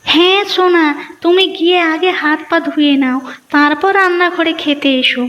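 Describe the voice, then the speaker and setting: A woman voicing a nagging but caring village housewife and mother in a children's cartoon, fussy and expressive. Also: extremely high pitch, normal speed, in a large hall. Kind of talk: children's moral story cartoon dubbing.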